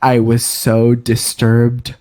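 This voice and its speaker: A man narrating a story aloud for friends in an amateur, dramatic, halting way. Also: medium pitch, monotone, mellow.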